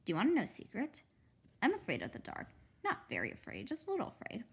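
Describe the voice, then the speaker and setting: Woman voicing an imaginative, husky little girl. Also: a little high, huge pitch swings, fast talker, full character voice. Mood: confiding, suspicious. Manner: dramatic, emphatic. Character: imaginative, anxious, insistent little girl narrator. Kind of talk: children's book read-aloud.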